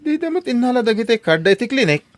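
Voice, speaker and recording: A man's voice: extremely high pitch, big pitch swings, quick pace, no room sound. A man playing a concerned, practical companion to a young woman in an Ilocano comedy audio drama. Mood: inquiring, concerned.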